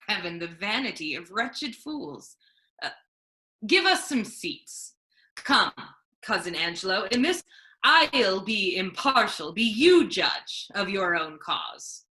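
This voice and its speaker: Lady playing a bossy sardonic duke in shakespeare, slow and forceful, big pitch swings. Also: sing-song, heavily acted. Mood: dry, mocking. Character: imperious duke, a ruling man, sardonic judge. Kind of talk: staged reading of Shakespeare.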